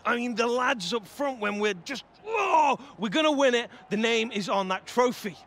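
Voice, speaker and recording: An actor playing a cheeky, excitable young footballer in game cutscenes, chatty and matey. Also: male voice, extremely high pitch, moderate pace, full character voice. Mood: elated. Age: young adult. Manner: chatty, laughing, matey slang. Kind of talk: acted game cutscene dialogue.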